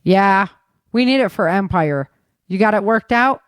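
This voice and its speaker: A woman's voice: mid-range voice, expressive, measured pace, clean tone, no room sound, clean studio sound, close to an everyday voice. Woman reading as blunt, defiant army major. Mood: businesslike. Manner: curt, protesting, commanding.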